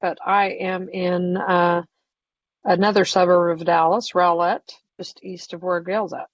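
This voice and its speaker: A woman from southeast Iowa speaks in a level, flat tone that barely moves. The sound is dry and close, with dull call audio. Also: lowish voice, measured pace, dry recording, recorded from a distance. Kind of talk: casual video-call introduction. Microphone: video call.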